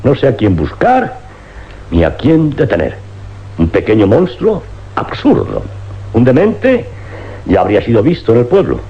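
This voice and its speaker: An actor playing a baffled, troubled police inspector in a dramatic radio play. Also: male voice, medium pitch, very animated, unhurried, long pauses. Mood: baffled, weary. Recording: dull old-style radio recording.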